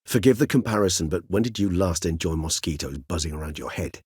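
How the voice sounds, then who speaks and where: A man's voice: deep voice, lively intonation, a little mellow, husky, no echo, studio quality, heavily acted. An actor voicing a cultured older male vampire in a video game, speaking formally and precisely. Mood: inquisitive, earnest. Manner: erudite, formal, measured, wordy phrasing. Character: cultured, mild-mannered older male vampire, learned and courteous. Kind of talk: theatrical video game dialogue.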